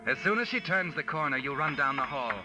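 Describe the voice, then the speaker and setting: A man's voice: a little high, animated, brisk, natural voice. Sardonic male suspense narrator actor in role, 1940s radio, swooping delivery, insinuating, music on old recording. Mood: ominous, suspenseful. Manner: ominous, insinuating second-person storytelling. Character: sardonic male mystery narrator addressing the guilty man as you.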